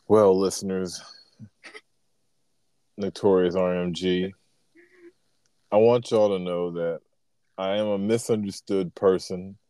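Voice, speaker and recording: Podcast guest: man, very low deep voice, dry close sound, sarcastic joker. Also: fairly even intonation, slow, pauses often, mellow, no room sound. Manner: sarcastic jokester, laid-back slangy talk. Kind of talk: casual conversation.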